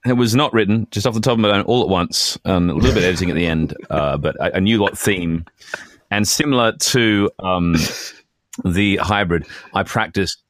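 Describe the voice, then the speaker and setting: Casting: male podcast co-host, very low voice, dry close sound, conversational, repeats himself. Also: normal speed, dry recording. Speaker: podcast co-host and sketch creator. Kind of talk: casual chat.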